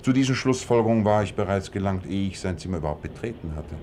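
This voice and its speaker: Low voiced clever detective in a radio play, calm n analytical, bit of noise behind it. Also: male voice. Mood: explanatory. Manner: measured, analytical, self-assured. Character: shrewd, composed male detective explaining his deductions.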